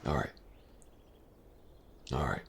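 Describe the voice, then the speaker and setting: A man playing an elven mercenary companion in an intimate fantasy audio roleplay, patient and gentle, with a hesitant, casual way of talking. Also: a little hiss or hum, natural voice. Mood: patient, instructive. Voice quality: very deep, gravelly. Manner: hesitant, soft-spoken, casual, with halting pauses.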